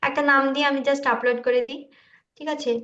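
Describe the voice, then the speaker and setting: A woman informally explaining her work in a halting, loose way on an online call. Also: high voice, level tone, a bit bright, reverberant, highs cut off. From India. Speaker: video creator showing their work. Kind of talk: informal screen-share walkthrough.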